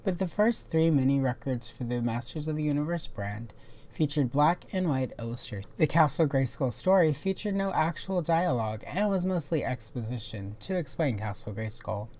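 A woman hosting a toy history video, giving scripted documentary narration in a measured, informative way. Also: low-pitched, animated, darkish tone.

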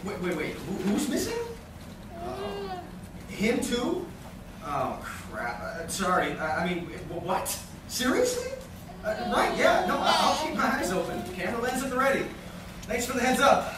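An actor playing a flustered, quippy teenage superhero with a stammering delivery in a live radio play. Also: male voice, very high-pitched, wildly swooping pitch, unhurried, heavily acted. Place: large hotel conference room with seated audience. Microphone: distant audience camera. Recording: echoey noisy room, recorded from far off.

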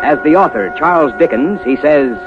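A man's voice: on the high side, moderate pace, music in the background. An American man announcing and narrating in a polished, emphatic 1950s radio style. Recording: muffled 1950s radio recording.

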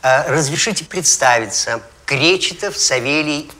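An actor playing a pompous, courtly portrait painter in an animated comedy, with hesitant, ornate, drawn-out speech. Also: male voice, a little high. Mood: courteous, self-important.

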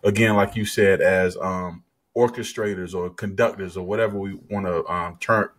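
A man's voice: sits low, fairly even intonation, moderate pace, fairly bright tone. A man hosting a podcast over a video call, laid-back and teasing, with lots of agreeing. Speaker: podcast host. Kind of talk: casual podcast conversation. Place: home studio, indoors. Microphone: headset on video call.

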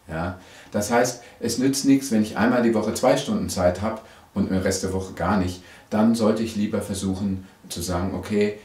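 A middle-aged bass teacher with a low, cold-roughened hoarse voice talks straight to camera. He keeps a chatty, explaining tone, peppered with "ja?" and "ähm". The studio adds a noticeable roomy echo. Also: dark tone. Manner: chatty, frequent "ja?" and "ähm" fillers. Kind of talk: video lesson, direct to camera.

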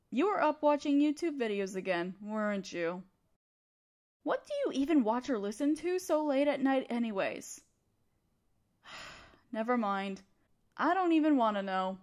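A woman playing a young female student, a teasing but encouraging study partner, in an intimate audio roleplay addressed to the listener. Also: on the high side. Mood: teasing, exasperated. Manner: teasing, conversational, affectionate.

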